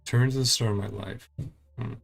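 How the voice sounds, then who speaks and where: A man's voice: medium pitch, steady tone, moderate pace, gravelly. A man doing casual playthrough commentary on a video game, speaking in clipped fragments and murmured reactions. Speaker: gaming channel host.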